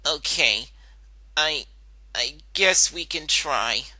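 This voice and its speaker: Slow, hesitant, scared young pony played by a woman. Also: low-pitched, animated, pushed and bright, breathy edge, no echo, heavily acted. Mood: timid, hesitant. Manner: hesitant, stammering. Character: scared young female pony, anxious and reluctant about surgery. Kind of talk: dramatic fan-fiction reading.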